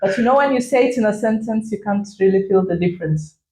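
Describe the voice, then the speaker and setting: A woman's voice: mid-range voice, a little mellow. A young woman with a Kenyan accent hosting a playful chat, teasing her guest and spelling words out letter by letter. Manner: teasing, spells words slowly, laughs often. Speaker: host. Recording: roomy echo.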